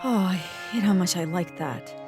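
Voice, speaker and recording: A woman playing an awkward, conflicted, grumpy young agent in an audio drama, halting and dry in delivery. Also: lowish voice, over music, lightly acted. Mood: reluctantly smitten.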